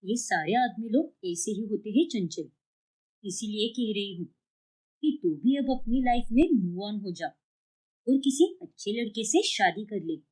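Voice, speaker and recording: A woman's voice: mid-range voice, lively intonation, measured pace, a little mellow, voice pushed down, low-quality recording. A woman voicing a blunt, exasperated young female friend who nags in a cartoon story. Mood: urging. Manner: nagging, exasperated. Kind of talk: cartoon moral story dialogue.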